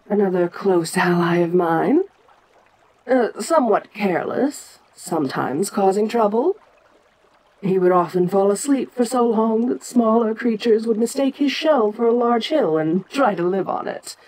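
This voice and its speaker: Woman playing a slow-speaking ancient kaiju queen. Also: medium pitch, a little mellow, lightly acted, rough recording. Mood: amused, fond. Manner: regal, deliberate, musing, pausing often. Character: ancient, imperious female giant kaiju monster queen. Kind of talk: slow in-character audio roleplay monologue.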